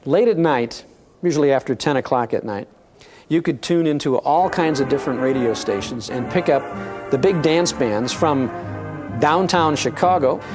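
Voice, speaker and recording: An older man explaining and reminiscing in a measured way for a documentary interview. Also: fairly high pitch. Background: music.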